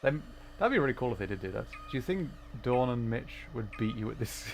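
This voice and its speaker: A man livestreaming a video game, chatting casually with viewers and explaining the play in an excitable way. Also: mid-range voice, a little fast, vocal fry, a little hiss or hum. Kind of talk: casual live gaming commentary, chatting with viewers. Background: video game music.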